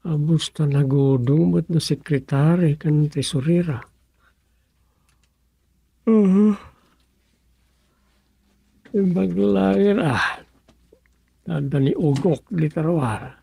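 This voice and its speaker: An actor in an Ilocano radio comedy drama playing a grumbling, sarcastic village councillor with a rough voice. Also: medium pitch, slow pace, pauses often, dark tone, no room sound, full character voice, low-quality recording. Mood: grumbling, muttering.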